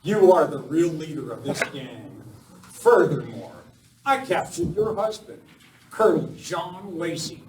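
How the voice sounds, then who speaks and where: Righteous masked cowboy hero played by a man, fairly high voice, declamatory, live Western radio play, recorded from a distance. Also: animated, unhurried, darkish tone. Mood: stern, accusing. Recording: echoey room, distant mic, faint noise.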